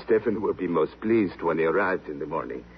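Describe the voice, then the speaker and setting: An actor playing a defensive, formal printer's assistant in a 1950s radio drama. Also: male voice, sits low, expressive, brisk. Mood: smooth explaining. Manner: formal, careful wording. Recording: muffled old radio recording, faint hiss.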